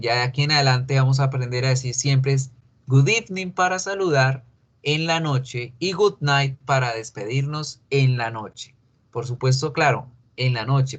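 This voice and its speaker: A middle-aged man with a Colombian Spanish accent leads an English lesson in a voice of middling pitch. He switches between Spanish and English and goes over the important points twice. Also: fairly bright tone. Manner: repeats key points, mixes Spanish and English. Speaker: English teacher. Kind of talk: recorded online class.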